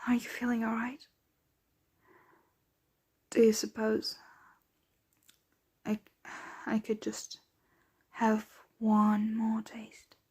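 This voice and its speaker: A woman playing a centuries-old, doting, aristocratic vampire mistress in an ASMR roleplay, speaking softly, tenderly and without hurry, often slipping into whispers. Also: a little high, level tone, gravelly, slightly rough, heavily acted. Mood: hungry, tender. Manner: soft, unhurried, tender, often drifting into whispers.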